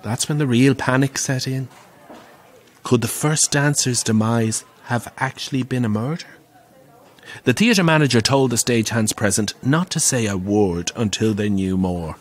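An actor playing a camp, wry, middle-aged Irish pantomime dame who relishes telling grisly ghost stories in an audio drama. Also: male voice, mid-range voice, moderate pace, natural voice. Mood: ominous, relishing. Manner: theatrical, wry, relishing the tale.

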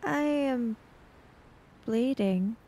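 A woman plays a terse, dazed samurai woman in a tabletop game. Her delivery is subdued and hesitant, with a creaky edge. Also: fairly high pitch, extremely slow, dark tone, voice pushed up. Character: Chiaki, wounded, dazed and terse female samurai.

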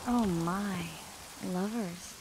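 An actress playing a tender, thoughtful young sorceress in a video game, speaking softly and expressively. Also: female voice, medium pitch, very slow. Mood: wistful. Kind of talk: video game dramatic dialogue. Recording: steady rain and ambient noise behind. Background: rain.

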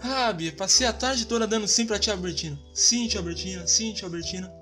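A woman's voice: mid-range voice, fairly quick, a bit bright, soft background music, close to an everyday voice. A woman voicing a goofy, cheeky, dim young boy in a Brazilian children's cartoon, whiny and clownish. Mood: playful. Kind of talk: children's cartoon comedy dialogue.

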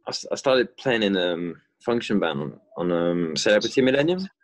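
Young French guy, deep voice, casual hesitant talker, video call audio from a parked car. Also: lively intonation. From France. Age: young adult. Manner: halting, many fillers and restarts, laughs easily. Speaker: guest musician. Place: inside a car.

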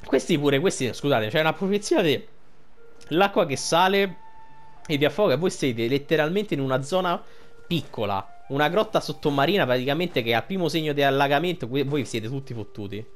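A man's voice: on the high side, lively intonation, fairly quick. A man giving colloquial, sweary commentary on a video game. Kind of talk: casual game commentary with reading dialogue aloud. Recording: game music behind the voice. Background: video game music.

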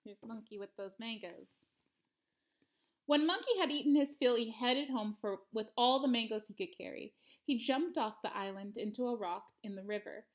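A woman's voice: fairly high pitch, normal speed. A woman in her thirties reading a picture book aloud expressively for children, at home. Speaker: storybook reader. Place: living room at home.

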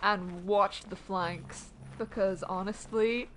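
A woman with a Yorkshire accent chatting casually and excitably while streaming a game, swearing and shouting warnings to her teammate. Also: medium pitch, takes it slowly, a little hiss or hum, poor audio. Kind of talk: casual gameplay chat. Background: loud game music.

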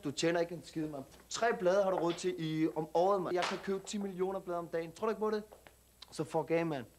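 A man's voice: sits high, fairly even intonation, darkish tone, a little hiss or hum, close to an everyday voice. An actor playing a brash young man at a TV casting, swaggering and aggressive, who tacks "mand" onto his lines. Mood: boastful. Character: brash young male street tough at a TV casting, hot-tempered. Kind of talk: scripted sketch comedy.

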